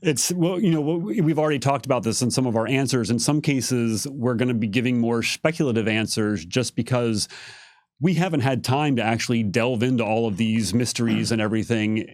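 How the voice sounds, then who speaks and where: A man's voice: mid-range voice, fairly quick, darkish tone, no room sound, studio quality. A man giving careful, casual explanations as a game developer on a livestream Q&A. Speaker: game setting developer on a Q&A panel.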